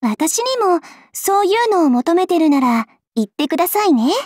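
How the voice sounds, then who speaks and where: A woman's voice: very high-pitched, expressive, normal speed, a little mellow, no echo, clean studio sound. A woman voicing a flustered, cheeky little girl demon in an anime game, polite but defensive and teasing. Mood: cheeky, challenging. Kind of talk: Japanese anime game character lines.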